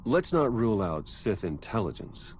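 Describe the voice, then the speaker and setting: A man's voice: on the low side, big pitch swings, moderate pace. A man playing a defiant, emotional secret agent in a video game. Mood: terse. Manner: intense, emotional. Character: defiant, anguished male secret agent, commander's son. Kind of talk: dramatic video game acting. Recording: loud noise behind the voice.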